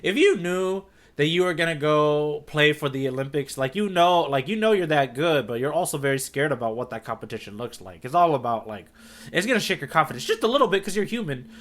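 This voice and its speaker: A man's voice: on the high side, expressive, brisk, fairly bright tone. A man co-hosting a podcast, chatting casually and enthusiastically as he explains his thoughts and checks in with "right?". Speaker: podcast co-host. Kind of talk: casual chat discussing a manga.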